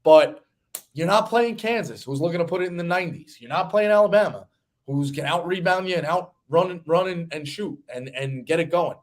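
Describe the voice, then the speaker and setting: A man's voice: on the high side, a little fast. A man in his thirties hosting a sports betting show, talking in a casual, rambling, conversational way. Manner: rambling, conversational, occasional swearing.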